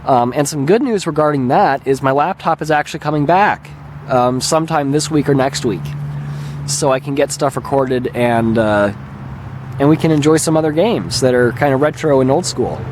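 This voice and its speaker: A man speaks at a middle pitch with a slightly raspy, hoarse edge. He chats in an unhurried, musing way, as a channel host would. He is recorded outdoors on a handheld camera, with faint ambient noise around him. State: mildly hoarse, recovering throat. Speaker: video channel host and gamer. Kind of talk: casual rambling vlog talk. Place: open lakeshore beach outdoors. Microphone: handheld camera held by the speaker.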